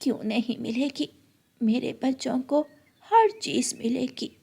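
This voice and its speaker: An elderly grandmother bird in a children's cartoon , acted out by a woman. She talks slowly and softly. Her manner is doting, kind and reassuring. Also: sits high, creaky, breathy edge, heavily acted. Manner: soft-spoken, reassuring.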